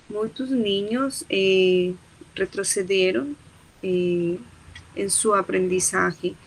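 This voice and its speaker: A Colombian woman, a Spanish speaker and a teacher, talking haltingly in a video-call class discussion, mixing Portuguese and Spanish as she searches for words. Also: medium pitch, a bit bright, a little hiss or hum, poor audio.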